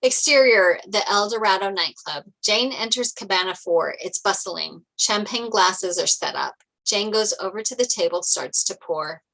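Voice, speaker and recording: A woman reading script narration and stage directions aloud at a table read in an animated storytelling way. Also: mid-range voice, normal speed, vocal fry, few pauses, rough recording.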